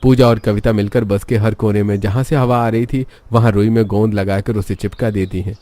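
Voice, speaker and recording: A man's voice: fairly low pitch, steady tone, a little fast, warm tone. A man reading scripted story narration for a cartoon tale.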